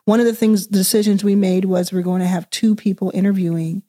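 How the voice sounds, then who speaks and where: Mellow chatty woman host. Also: mid-range voice, steady tone, quick pace. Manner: chatty, laughing, loose phrasing. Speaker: podcast host. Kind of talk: casual interview hosting.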